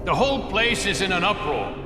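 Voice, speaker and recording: Echoey railway station worker. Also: male voice, high-pitched, big pitch swings, a little fast. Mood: informative. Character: busy, reporting male railway station worker. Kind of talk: video game cutscene voice acting. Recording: echoey station, music behind.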